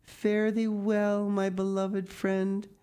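A woman's voice: medium pitch, monotone, slow pace, warm tone, clear, resonant tone, pitched above the performer's own voice, natural voice. A woman voicing a dramatic, romantic young orphan girl in a storybook, earnest and tearful, with flowery old-fashioned phrasing. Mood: solemn, romantic. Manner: flowery, archaic, theatrical phrasing. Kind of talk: audiobook character reading.

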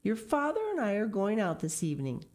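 A woman voicing a flustered, cheerful mother pig in a read-aloud picture book, talking brightly and in a hurry to please. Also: medium pitch, big pitch swings, lightly acted. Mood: bright, fussing. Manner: bright, hurried, eager to please.

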